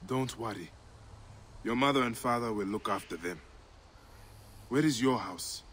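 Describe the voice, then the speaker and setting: An actor playing a grave, protective Egyptian warrior in a video game, solemn and determined, bent on revenge. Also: male voice, medium pitch, a little slow, a bit bright, a little hiss or hum, lightly acted. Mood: gentle. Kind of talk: dramatic video game acting. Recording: music under the voice.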